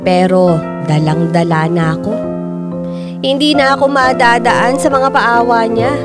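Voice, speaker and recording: A woman's voice: on the high side, lightly acted. An actress playing a hurt but resolute woman telling her own story as radio drama narration. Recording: piano music loud behind narration.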